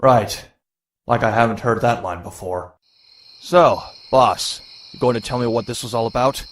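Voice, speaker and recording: A man's voice: medium pitch, normal speed, natural voice. A man voicing a cocky, sardonic young masked vigilante antihero in a motion comic, full of quips. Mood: dry. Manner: sardonic, quippy. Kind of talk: motion comic narration and dialogue. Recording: echoey, roomy sound.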